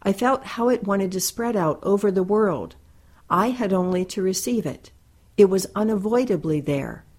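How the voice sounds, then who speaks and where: A woman reading a first-person essay aloud in a measured, deliberate and reflective way. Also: mid-range voice, lively intonation, a little mellow, breathy edge. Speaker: reader.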